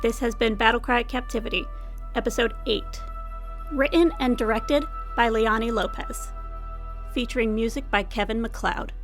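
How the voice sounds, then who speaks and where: A woman's voice: a little high, moderate pace. A woman reads out closing credits for an audio drama. It is scripted narration, with music underneath. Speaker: credits reader.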